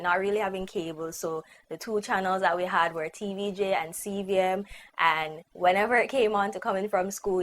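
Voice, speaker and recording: A woman's voice: fairly low pitch, slight background noise. Upbeat young Jamaican-accented woman vlogger. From Guyana, raised in Jamaica. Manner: chatty, upbeat, many "like" fillers. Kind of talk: casual personal vlog.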